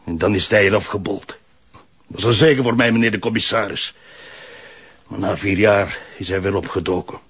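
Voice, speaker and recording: An actor playing a bitter, scornful, middle-aged Flemish widower in a TV crime drama, curt and husky. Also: male voice, on the low side, moderate pace, pauses often, fairly bright tone, lo-fi sound.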